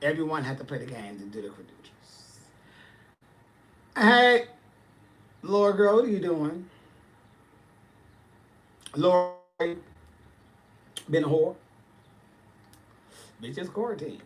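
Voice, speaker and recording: A man's voice: fairly high pitch, very animated, slow pace, long pauses, a bit bright, slight background noise. A man, chatting casually on a livestream and answering viewers' comments with sass. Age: 40s. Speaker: livestream host.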